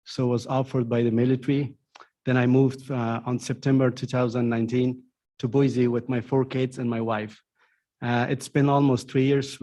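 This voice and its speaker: A middle-aged man from Afghanistan, a refugee case manager, giving halting testimony at a council meeting with many filler pauses. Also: medium pitch, darkish tone.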